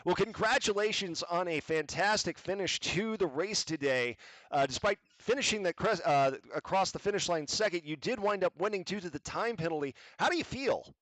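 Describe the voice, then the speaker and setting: Dry, slightly high-voiced male broadcaster. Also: animated, moderate pace, fairly bright tone, no echo, low-quality recording. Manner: formal, broadcaster-like, chatty with fillers. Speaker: league broadcaster, interviewer. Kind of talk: live stream commentary interview. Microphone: desktop mic on voice chat.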